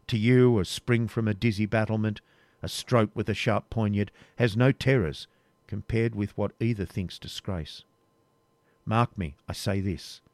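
Man voicing a stern, proud knight, low and grave, dry close sound. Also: a little mellow, no room sound, natural voice. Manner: grave, measured. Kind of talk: audiobook reading, period novel.

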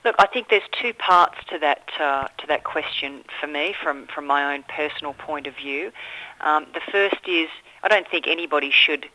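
A middle-aged Australian woman, a political candidate, answering questions in an earnest, measured way with frequent ums. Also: deep voice, pushed and bright, dry recording, low-quality recording. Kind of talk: radio phone interview.

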